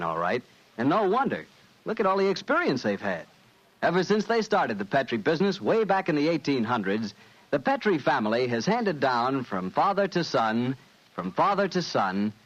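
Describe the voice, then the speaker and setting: Lively, high-pitched American radio announcer man. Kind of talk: 1940s radio announcing and advertising. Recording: dull vintage radio recording.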